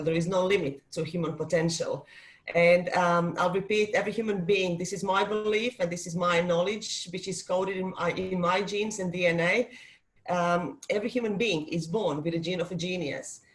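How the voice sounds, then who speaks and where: A woman speaks over a video call as a guest coach, earnest and searching for words as she goes. Her voice sits at an ordinary middle pitch. She talks in a motivational, personal way. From Serbia. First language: Serbian. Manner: earnest, with frequent ums and restarts. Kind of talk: motivational webinar talk.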